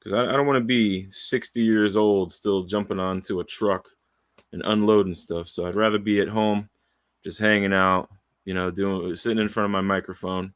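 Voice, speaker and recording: A man in his late thirties rambling candidly into his home microphone, profane and wandering, with restarts and trailing pauses. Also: deep voice, dry recording. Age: 30s. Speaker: aspiring voiceover artist. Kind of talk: casual rambling monologue. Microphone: studio microphone, close and dry.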